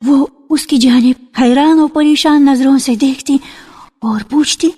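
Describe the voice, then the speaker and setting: Unhurried high-voiced woman narrating radio drama. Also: a little mellow. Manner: measured storytelling. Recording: reverberant archival radio recording with music.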